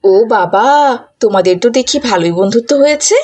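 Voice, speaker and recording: Woman as a slightly husky cartoon schoolteacher. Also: medium pitch, lively intonation, brisk, darkish tone. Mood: surprised, indulgent. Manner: instructive, questioning. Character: schoolteacher madam, kindly but firm with children. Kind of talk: cartoon dialogue acting.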